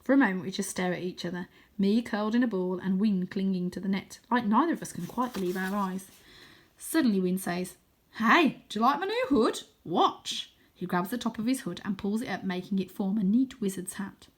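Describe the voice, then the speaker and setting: A woman reads a children's book aloud. Her voice sits at a medium pitch, and her scripted narration is expressive, in the manner of a storyteller. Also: fairly quick. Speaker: storybook reader.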